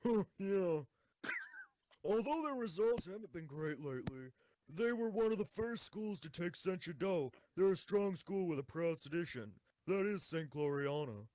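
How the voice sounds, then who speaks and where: A man's voice: on the high side, a little mellow, no echo, close to an everyday voice, rough recording. A man playing an eager, devoted schoolgirl tank enthusiast in an amateur audio drama.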